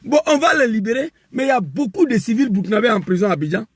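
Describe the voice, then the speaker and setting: A man delivering an impassioned political rant, emphatic and repetitive, rising to shouts. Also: very high-pitched, lively intonation, quick pace, slightly rough, no room sound, studio quality. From West Africa. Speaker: political commentator.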